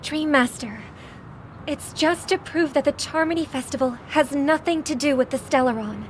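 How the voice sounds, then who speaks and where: An actress playing a gentle, earnest young female singer who speaks softly and respectfully, recorded as a game dub over a loud score. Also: high voice, normal speed, slight background noise, close to an everyday voice.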